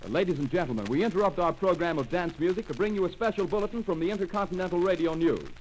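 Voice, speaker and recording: A man's voice: sits high, fast talker, a little hiss or hum, close to an everyday voice, low-quality recording. A man reading formal network announcements and a news bulletin in a 1930s radio drama. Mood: urgent. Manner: formal, clipped bulletin delivery.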